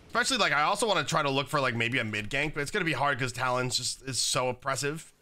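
A young man with a slightly raspy voice from being sick, chatting casually while commenting on his own gameplay at a desk setup. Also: medium pitch, expressive, fast, sharp tone. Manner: casual, chatty, many fillers like "like" and "um". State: slightly raspy, recovering from illness. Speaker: gaming YouTuber. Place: home gaming setup, indoors.

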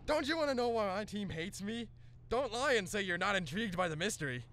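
A man's voice: high voice, animated, a little fast, a bit bright, dry recording. A man voicing a melodramatic, haughty teenage boy in a comedic anime parody dub, theatrical and indignant. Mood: dramatic indignation. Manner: theatrical, indignant, self-important.

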